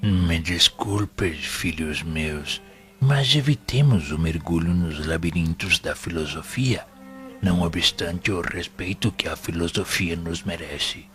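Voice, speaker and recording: An actor with a Brazilian accent playing a wise, paternal spiritual instructor in an audio drama, speaking in grave, formal, didactic oratory. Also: male voice, low voice, slow, music in the background. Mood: solemn, paternal. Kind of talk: audio drama sermon-like monologue.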